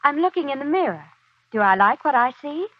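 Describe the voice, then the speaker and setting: An actress playing a chirpy young housewife musing to herself in a 1940s radio advertisement, perky and confiding. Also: female voice, high-pitched, very animated, brisk, dry recording. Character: chirpy, self-appraising young housewife at her mirror. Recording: dull, dry 1940s radio broadcast.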